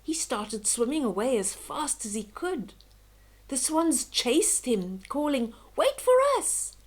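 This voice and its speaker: An older woman reading a children's bedtime story aloud in a gentle, expressive, dramatic way. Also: a little high. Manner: slow, gentle, dramatic, with hushed whispery moments.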